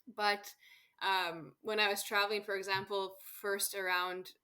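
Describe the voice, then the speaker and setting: A woman's voice: mid-range voice, little pitch movement, measured pace, bright, forceful tone. A woman from Canada, a guest on an interview show, chatting casually about travel and laughing often. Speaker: guest, solo travel expert.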